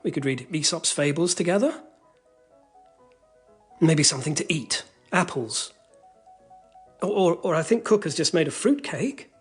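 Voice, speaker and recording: Kind English boy, period radio play, soft-mannered, halting, animated pitch, slightly breathy, faint music. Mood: gentle, coaxing. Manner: gentle, coaxing, halting. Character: kind, gentle, coaxing boy cousin of good family. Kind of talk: period radio drama acting.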